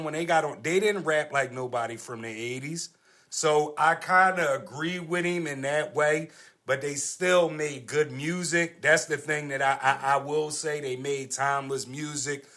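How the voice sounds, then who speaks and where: A man's voice: a little high, a bit bright. A man hosting a casual panel discussion, talking in slangy, argumentative phrases that he repeats for emphasis. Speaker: podcast host.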